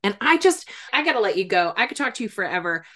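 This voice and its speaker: A woman's voice: mid-range voice, very animated, quick pace, a bit bright. A woman hosting a podcast in a casual conversational interview, speaking in an effusive, emphatic way. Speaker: podcast host and grief expert.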